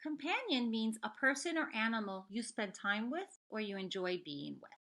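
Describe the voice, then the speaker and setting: A woman teacher reading aloud to young children, explaining things deliberately and speaking to them directly with expressive storybook delivery. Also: on the high side.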